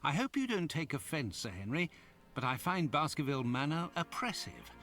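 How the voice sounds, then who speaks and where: A genteel, fussy companion to a detective in a video game. His pitch is a little high and swings expressively as he speaks politely. Clear music runs underneath. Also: normal speed. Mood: uneasy. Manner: polite, expressive, slightly fretful. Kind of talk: video game cutscene acting.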